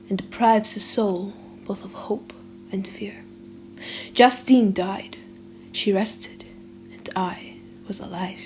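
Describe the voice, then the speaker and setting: A woman narrating an audiobook in a theatrical, emotive style, with drawn-out pauses and hushed drops. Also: medium pitch, a little hiss or hum, rough recording. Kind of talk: dramatic audiobook reading.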